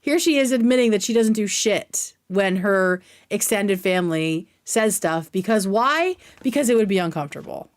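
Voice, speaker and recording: A woman's voice: mid-range voice, animated, normal speed, few pauses. A woman hosting a livestream, giving informal, opinionated commentary and explaining her points at length. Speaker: livestream host. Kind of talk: casual commentary reacting to clips.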